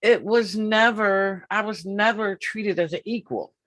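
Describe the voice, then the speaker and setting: A woman in her fifties hosting an interview, talking in a slow, emphatic way and repeating her points. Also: medium pitch, fairly bright tone. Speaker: podcast host. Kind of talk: casual interview.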